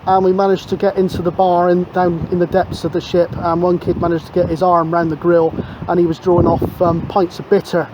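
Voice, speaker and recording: A man's voice: high-pitched, completely flat delivery, brisk. A man chatting casually as a CB radio operator, matey and rambling, outdoors on a windy seashore. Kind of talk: casual radio chat and narration to camera. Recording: dull outdoor recording, clear wind noise. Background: wind and outdoor ambience.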